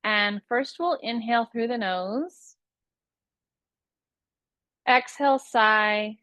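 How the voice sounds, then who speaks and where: A woman teaching a guided yoga nidra meditation, giving soothing, unhurried instructions with long pauses between phrases. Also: a little high, fairly bright tone, no echo.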